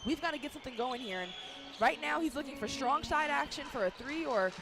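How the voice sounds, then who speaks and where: A woman's voice: high-pitched, lively intonation, fairly quick. A woman giving live courtside analysis and reports during a basketball broadcast. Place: courtside in an arena. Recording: noisy courtside arena sound. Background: basketball game noise, bouncing balls.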